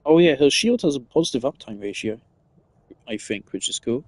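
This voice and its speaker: A man's voice: medium pitch, animated, a little fast, a little mellow, no echo. A man giving casual, analytical gaming commentary, thinking aloud in a rambling way as a streamer.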